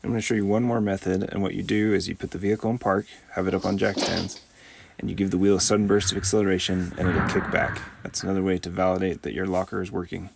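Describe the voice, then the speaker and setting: A man, the host, giving a calm, methodical voice-over tutorial on a close mic. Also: deep voice, gravelly, slight background noise. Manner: calm, methodical, measured explaining. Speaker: channel host.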